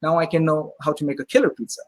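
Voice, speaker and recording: A man who owns a pizzeria, telling stories as a guest in a casual interview, animated and full of fillers. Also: a little high, fast, a little hiss or hum. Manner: animated storyteller, many fillers.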